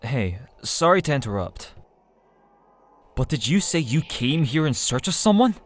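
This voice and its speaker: Animated, brash teenage boy acted by a man. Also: medium pitch, a little slow. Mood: puzzled. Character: blunt, brash teenage schoolboy. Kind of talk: anime game fan dub acting.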